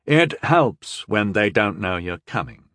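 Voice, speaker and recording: A man's voice: on the low side, very animated, slow pace, fairly bright tone, no echo, studio quality, heavily acted. A man voicing a bold young squad leader who gives orders with authority. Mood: calm scheming.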